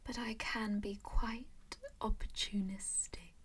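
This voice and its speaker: A woman voicing a composed, doting female vampire noble in a whispered roleplay, gently coaxing and possessive. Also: mid-range voice, steady tone, takes it slowly, breathy edge. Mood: soothing whisper. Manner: soft whisper throughout; gently possessive and coaxing, calls listener darling and dear.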